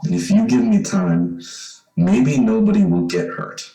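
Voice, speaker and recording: A man's voice: on the low side, huge pitch swings, a little slow, gravelly, warm tone, echoey room, heavily acted, low-quality recording. An actor playing an evasive young man pleading his case in an old-time radio suspense drama. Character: young man claiming to be long-lost son, evasive, pleading.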